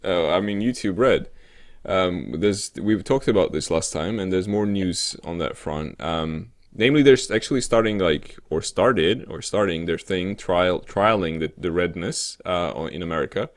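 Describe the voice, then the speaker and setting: A man with a fairly low voice co-hosts a casual internet talk show. His commentary is conversational and halting, often searching for the right word as he goes.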